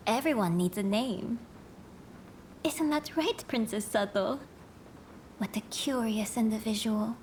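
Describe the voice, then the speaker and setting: An actress playing a composed, gracious young noblewoman in a game cutscene, speaking formally and softly in measured phrases. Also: a little high, a little hiss or hum. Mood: gracious, amused. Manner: formal, measured, soft-spoken. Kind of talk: dramatic video game cutscene acting.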